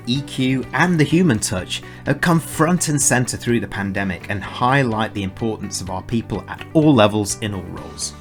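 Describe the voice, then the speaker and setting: A man hosting a podcast, reading introductions and putting questions in a measured, articulate presenter's manner. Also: lowish voice. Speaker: podcast host. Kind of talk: scripted podcast introduction and interviewing.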